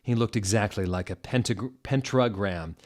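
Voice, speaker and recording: A man giving a theatrical, mock-serious dramatic reading of comic fan fiction in a deliberate narrator's manner. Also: lowish voice.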